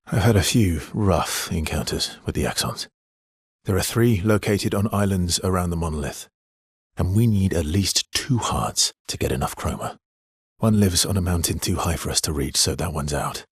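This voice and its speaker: Low, husky, weary immortal expeditioner. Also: male voice, expressive, normal speed, no echo, studio quality, heavily acted. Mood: urgent, earnest. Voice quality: husky, breathy, gravelly low voice. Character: weary, guarded immortal male expeditioner, earnest and protective. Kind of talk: dramatic video game voice acting, naturalistic and understated.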